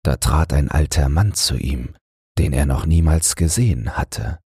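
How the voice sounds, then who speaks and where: A man narrating a fairy tale as a bedtime audiobook, in a low, husky, breathy voice. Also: unhurried, darkish tone, no echo, studio quality.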